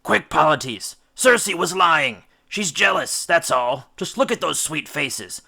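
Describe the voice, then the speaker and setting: A man's voice: on the high side, lively intonation, takes it slowly, creaky, bright, forceful tone, pitched above the performer's own voice, heavily acted. A man voicing a spellbound Greek sea captain in a storybook, imperious, desperate, commanding and pleading. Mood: frantic, urging.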